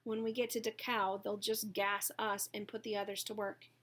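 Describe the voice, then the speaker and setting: A woman's voice: medium pitch, flat delivery, normal speed, fairly bright tone, lightly acted. A woman voicing a bitter, fatalistic male prisoner in an audiobook story, grimly matter-of-fact.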